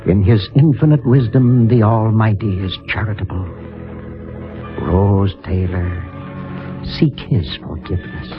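A man acting a grave, fatherly priest in an old radio play, preaching forgiveness in a low voice. He speaks slowly and solemnly, on a muffled vintage recording. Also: music in the background, lightly acted. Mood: solemn, compassionate. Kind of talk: 1950s radio drama acting.

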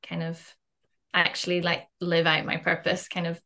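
Chatty Scottish woman, bright voice. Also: on the low side, completely flat delivery, moderate pace. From Western Isles, Scotland. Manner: chatty, many fillers like "um" and "kind of". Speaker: guest, life purpose coach. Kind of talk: casual interview chat.

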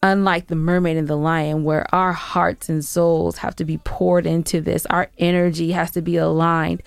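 A woman with a fairly low voice and noticeable vocal fry, chatting casually as a podcast co-host. She is playfully argumentative and insistent, and the sound is close and dry. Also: level tone, moderate pace, no room sound. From Georgia, United States. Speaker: podcast co-host and audio drama producer. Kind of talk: casual banter and show updates.

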